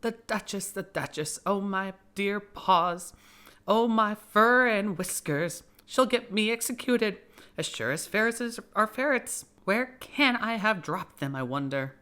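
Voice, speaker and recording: Anxious, bossy storybook rabbit acted by a man, pitched high, fussy and fretful. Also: expressive, a little slow, sharp tone, clear, resonant tone, exaggerated voice. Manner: muttering, fretful, imperious. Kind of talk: audiobook character voice.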